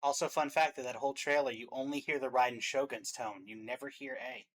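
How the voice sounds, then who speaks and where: A man's voice: medium pitch, steady tone, fairly quick, fairly bright tone. A man giving casual reaction commentary as a co-host, sounding like an enthusiastic game fan.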